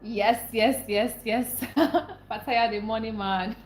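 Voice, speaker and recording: A woman's voice: a little high, takes it slowly, slight background noise. A young Nigerian woman, a native Igbo speaker, hosting a casual livestream, chatting with viewers, repeating herself and laughing often. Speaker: livestream host. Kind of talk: casual livestream chat reading comments. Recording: echoey room, faint ambience.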